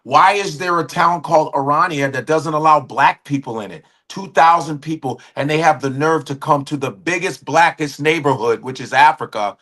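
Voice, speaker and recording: A middle-aged man with a bright, slightly high voice talks heatedly on a live show. He is blunt and profane, and his indignation keeps driving him on. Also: moderate pace, hardly any pauses. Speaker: guest commentator. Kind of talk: heated opinion talk.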